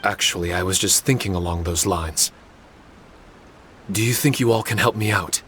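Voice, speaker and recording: A man's voice: low-pitched, moderate pace, a bit bright, a little hiss or hum. A man voicing a humble, earnest spirit guardian in a video game, with a formal, apologetic way of speaking. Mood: earnest, resolved. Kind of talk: English video game voice acting. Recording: music behind the voice.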